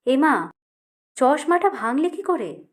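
An anguished middle-aged mother from an audio story, acted out by a woman reader. Her voice is raised high and she exclaims with tearful reproach, pressing her grown son as she scolds and pleads. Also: very animated, slow, exaggerated voice. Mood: distressed.

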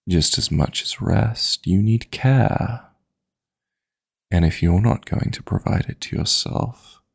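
Man acting as seductive male dream demon, heavily creaky low voice, flirty, possessive, coaxing, intimate sleep-aid audio roleplay. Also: a little mellow, exaggerated voice. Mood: tender concern. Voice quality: husky, breathy, gravelly. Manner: slow, coaxing, formal endearments.

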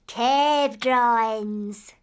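Wilful little cartoon girl, high-pitched, very slow emphatic delivery. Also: smooth. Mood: eager. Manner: emphatic, drawn-out childish declarations. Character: bold, wilful, excitable little cartoon princess.

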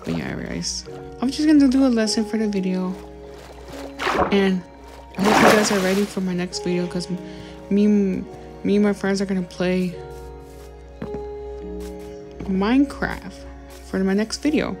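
A woman's voice: medium pitch, sing-song, unhurried, long pauses, music in the background. A woman talking casually over her gameplay as a YouTuber, rambling and apologizing often, with many fillers. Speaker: gaming YouTuber.

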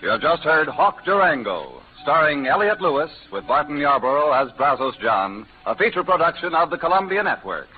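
A man's voice: fairly high pitch, sing-song, normal speed, few pauses. A man with an American accent reading scripted credits as a 1940s radio announcer. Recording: old phone-like radio broadcast recording. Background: music.

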